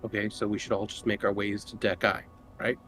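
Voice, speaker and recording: A man with a lowish voice talks casually as a player in a group tabletop game. His speech is conversational and unscripted, with music faintly under it. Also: level tone, fairly quick, fairly bright tone. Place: online voice call.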